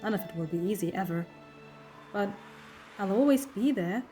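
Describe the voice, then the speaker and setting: A woman's voice: medium pitch, expressive, normal speed, close to an everyday voice. A woman playing a playful, reassuring alien heroine in a fan audio drama, coaxing and teasing as she talks. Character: playful, reassuring time-travelling alien heroine, a voice in a boy's head. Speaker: voice actor. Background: music.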